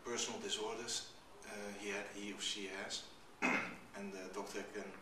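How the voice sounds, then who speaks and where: A young man speaks in a low, flat voice, stopping often to hunt for words. He sounds distant in an echoey room, with steady room noise behind him. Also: moderate pace. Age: 20s. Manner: hesitant, many uhs and restarts. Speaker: student. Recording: echoey room, mic far off.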